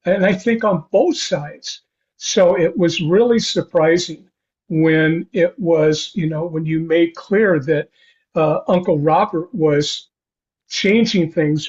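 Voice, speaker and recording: An older man hosting an interview podcast, talking in a halting, rambling way with repeated false starts. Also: a little high, a little mellow. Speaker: podcast host. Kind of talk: conversational interview. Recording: dull, lo-fi sound.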